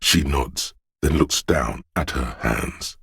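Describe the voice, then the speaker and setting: A man voicing a brooding, omniscient inner voice of a city in a video game, narrating gravely and poetically in a measured, husky, gravelly voice. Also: a bass voice, fairly bright tone, no echo, studio quality, full character voice. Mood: brooding, solemn. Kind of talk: slow literary second-person game narration.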